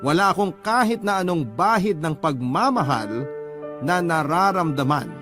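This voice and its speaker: A man's voice: fairly high pitch, lively intonation, measured pace, over music. A Filipino man giving a dramatic first-person narration of a radio love story.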